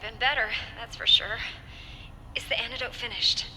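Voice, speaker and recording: A woman's voice: on the high side, steady tone, normal speed, bright, forceful tone. Anxious young woman over noisy reverb. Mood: worried. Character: anxious but brave young woman, hero's ally. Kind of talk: video game voice acting. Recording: noisy, reverberant distant call.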